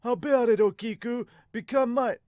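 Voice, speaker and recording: A man's voice: very high voice, normal speed, darkish tone, dry recording, voice pushed up. A man voicing an arrogant samurai villain in an amateur manga dub, haughty and commanding as he sneers at commoners. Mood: haughty menace.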